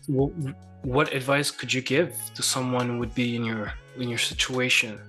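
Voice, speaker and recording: Bright-voiced man hosting. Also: medium pitch, fairly even intonation, measured pace, faint music behind. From France. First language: French. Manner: encouraging, thoughtful questioner. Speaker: podcast host. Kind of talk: conversational interview.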